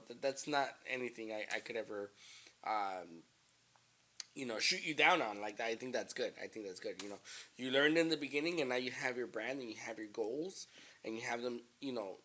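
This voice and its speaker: A man hosting a casual interview, relaxed and encouraging, with lots of fillers. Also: medium pitch. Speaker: podcast host.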